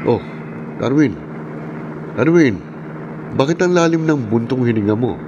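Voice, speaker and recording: An actor playing a nosy, streetwise pal of a gambler in a horror radio drama, speaking colloquially and questioningly. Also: male voice, medium pitch, animated, a little slow, muffled recording, natural voice. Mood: prodding, puzzled. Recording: clear vehicle-like background noise.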